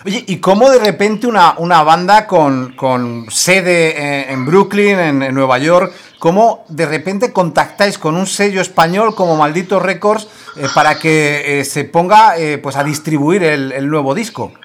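A man's voice: a little high, a little slow. A man with a Spain Spanish accent hosting a radio interview, asking long, rambling questions with many fillers. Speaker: radio host.

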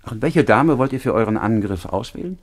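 An actor playing a proud, honourable lover in a classical German radio play, speaking in a formal, earnest manner. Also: male voice, mid-range voice, expressive, fairly quick. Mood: courteous, guarded. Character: proud honourable male lover, trusting and quick to defend honour.

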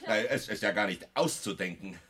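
An actor playing a stout, jovial, excitable Austrian war veteran in a radio play, effusive and given to repeating himself. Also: male voice, lowish voice, brisk, slightly rough. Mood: warm, eager. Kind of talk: German radio play acting.

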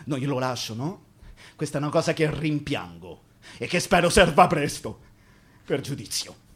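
The ghost of a Roman labourer killed on site, in a fairly high male voice. He rambles in thick street dialect, bitter and tender at once. Phrases return like an incantation, in the manner of a theatrical radio play. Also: animated, moderate pace, a bit bright. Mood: bitter, resentful. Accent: Roman Italian. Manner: rambling, colloquial, incantatory repetition. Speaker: stage actor.

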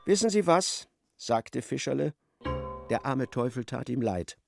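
A man narrating a radio play as literary storyteller, in a measured and wry manner. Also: medium pitch, animated, creaky, music in the background.

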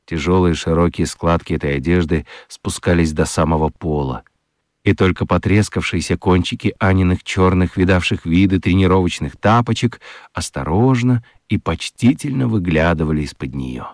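A man narrating a children's radio play in an expressive storytelling style. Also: low-pitched, moderate pace, no room sound, clean studio sound.

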